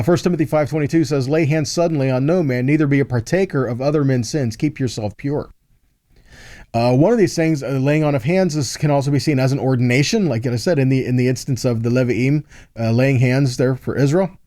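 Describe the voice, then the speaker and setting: A man's voice: mid-range voice, warm tone, dry recording, studio quality. A man giving a conversational Bible teaching, explaining point by point and often checking in with the listener. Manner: explanatory, checks in with "okay?" and "right?".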